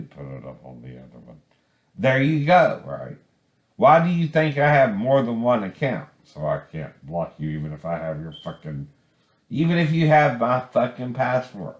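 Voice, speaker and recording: A man giving rambling, informal commentary in a bitter, profane, confrontational manner. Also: on the low side. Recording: echoey, roomy sound.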